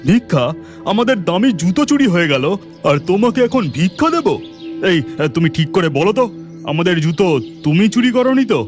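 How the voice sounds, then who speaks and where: A man's voice: sits high, very animated. A man voicing a brusque, bossy, impatient village bridegroom in a cartoon story, with a commanding manner. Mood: suspicious. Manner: commanding, hurried. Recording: music behind the voice.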